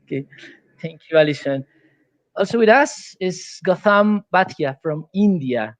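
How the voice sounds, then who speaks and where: Male moderator, Colombian Spanish accent, higher register, halting delivery, dry recording. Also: expressive, dry recording. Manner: halting second-language English. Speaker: panel moderator, science fiction editor. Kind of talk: hosting an online panel discussion.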